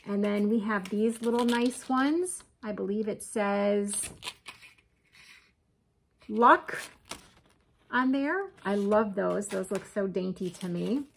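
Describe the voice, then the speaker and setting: A woman in her forties shows off her handmade crafts in a chatty, upbeat way and keeps calling her viewers "you guys." She speaks slowly, and her voice has a gravelly fry. A faint hiss sits under the home kitchen recording. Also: medium pitch. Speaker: crochet vlogger. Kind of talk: casual show-and-tell chat.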